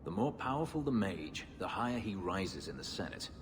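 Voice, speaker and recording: Bitter brooding warrior, video game, very deep, grave, dry sarcasm, long reverb, noisy backdrop. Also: male voice, very animated. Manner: measured, grave, dryly sardonic. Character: brooding, bitter male warrior from a slave-keeping empire.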